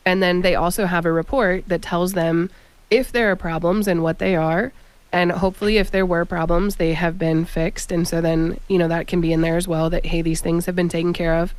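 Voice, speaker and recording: A woman's voice: on the low side, smooth, dry recording. A woman talking on a real estate radio show, explaining what buyers want at length. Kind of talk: radio talk show chat.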